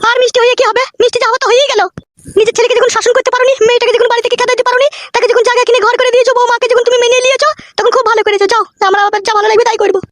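A foul-mouthed, bossy cartoon mother from the village, scolding in coarse slang. Her artificially raised voice is extremely high and races along, with music clearly playing behind her. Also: steady tone, rapid-fire. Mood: angry accusing. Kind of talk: comic cartoon dialogue.

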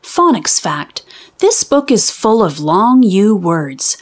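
Female children's storybook reader, lively intonation, unhurried and clearly enunciated, teacherly. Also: medium pitch. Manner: deliberate, enunciated teaching delivery. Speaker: children's read-aloud host. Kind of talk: expressive storybook reading for young children.

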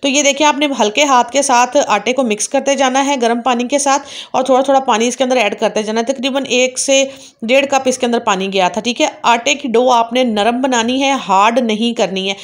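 A woman's voice: a little high, brisk. A woman hosting a home cooking channel, patiently explaining each step and often checking in with viewers. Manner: patient, repeats "theek hai" to check in with viewers. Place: home kitchen.

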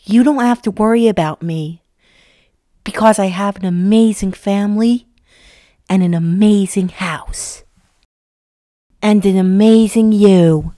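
A woman voicing an affectionate, encouraging older girl in an animated film. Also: medium pitch, measured pace, long pauses, no echo, studio quality, lightly acted. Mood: reassuring. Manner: tender, encouraging.

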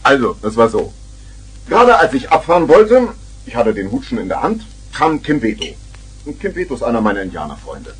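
An actor playing a jovial, tippling old uncle who tells tall tales theatrically in a 1970s children's radio play. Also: male voice, medium pitch, huge pitch swings, fairly quick, husky, exaggerated voice, rough recording. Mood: storytelling.

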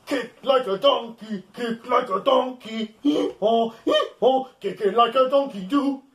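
A man in his thirties reading aloud to young children in a playful, exaggerated, encouraging way, recorded in a small room at home. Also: extremely high pitch. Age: 30s. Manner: addresses listeners with questions and pauses. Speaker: children's bedtime storyteller. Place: small home room full of soft toys.

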